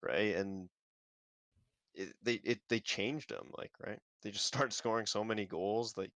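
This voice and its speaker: A man co-hosting a casual football podcast, speaking haltingly and often ending with "right?". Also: fairly low pitch, fairly bright tone, no echo. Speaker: co-host.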